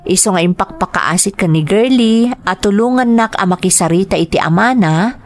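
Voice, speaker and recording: A woman's voice: mid-range voice, normal speed, slight background noise. A woman narrating a scripted first-person story as part of an audio drama.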